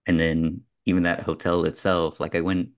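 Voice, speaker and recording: A man's voice: low voice, flat delivery, moderate pace. A man hosting a podcast, talking through films in a casual, reflective and discursive way among friends. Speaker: podcast host.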